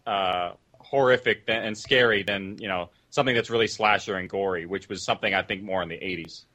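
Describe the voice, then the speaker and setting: A man's voice: fairly low pitch, a little fast, bright, forceful tone. A man talking casually as a writer and producer on a discussion panel.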